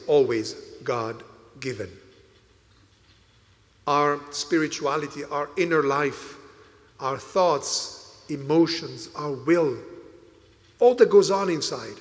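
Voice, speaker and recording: A man whose first language is Italian, preaching a homily as a priest, deliberate and emphatic. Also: on the high side. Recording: reverberant church hall.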